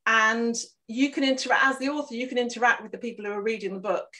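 A woman's voice: fairly high pitch, fast talker, pushed and bright. A woman author chatting on a video call from home, talking casually with many fillers. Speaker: author and networking guest.

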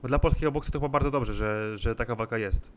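A man's voice: mid-range voice, quick pace. A male sports journalist asking long, winding questions over a video call, hesitating as he goes. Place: indoor room at home.

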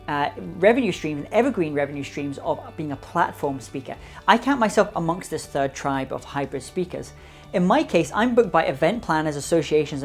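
A man in his thirties giving a polished, emphatic scripted explainer to camera in a studio, speaking into a lapel mic. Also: a little high. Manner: polished, emphatic delivery with gesturing hands. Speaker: business speaker and presenter.

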